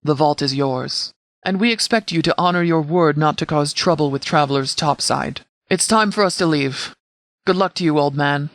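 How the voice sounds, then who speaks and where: A man playing a stern, composed sheriff in a video game, speaking with formal, clipped military authority in a slightly higher voice. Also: moderate pace, a bit bright, no room sound. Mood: dry, firm. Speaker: commanding officer. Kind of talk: scripted video game dialogue.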